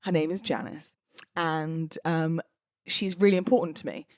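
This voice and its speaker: A woman's voice: sits low, fairly even intonation, moderate pace, darkish tone, dry recording, studio quality. A British woman hosting a podcast on her own, chatting in a playful, theatrical way. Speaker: podcast host and wedding celebrant. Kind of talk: chatty solo podcast talk.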